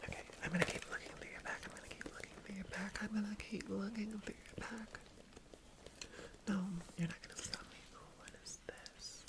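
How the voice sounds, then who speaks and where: A woman's voice: mid-range voice, fairly even intonation, close to an everyday voice. A woman whispering as a wary nature being. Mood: suspicious whisper. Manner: soft whispering, repeating phrases slowly. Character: wary, suspicious female nature being who distrusts humans. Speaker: ASMR performer. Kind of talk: ASMR roleplay.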